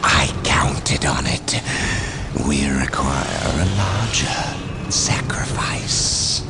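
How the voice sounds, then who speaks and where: A scheming dark sorcerer in a game cutscene. His croaky voice draws out phrases very slowly with silky, theatrical menace, and music plays loudly behind him. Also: rumbling low, expressive, exaggerated voice. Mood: sly, gloating. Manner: theatrical, insinuating, drawn-out phrasing. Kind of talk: dramatic video game cutscene acting.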